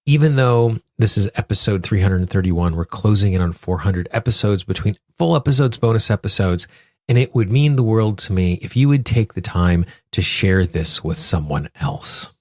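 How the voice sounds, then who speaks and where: Low-voiced American man hosting a podcast. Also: expressive, moderate pace. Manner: explanatory, direct address to listeners. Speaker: podcast host. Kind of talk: solo podcast talk to listeners.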